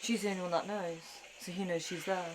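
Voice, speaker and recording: A woman's voice: fairly low pitch, fairly even intonation, fast, over a noisy crowd, poor audio. A woman reacting casually to a show as she watches, full of exclamations and the odd swear word. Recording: TV show soundtrack playing behind. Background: television show audio with music.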